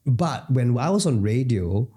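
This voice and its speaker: A man's voice: mid-range voice, expressive, measured pace, dark tone, slightly rough. A man from Singapore, hosting a casual podcast chat with rambling, joking asides. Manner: chatty, rambling, jokey asides. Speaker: podcast host. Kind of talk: casual conversation.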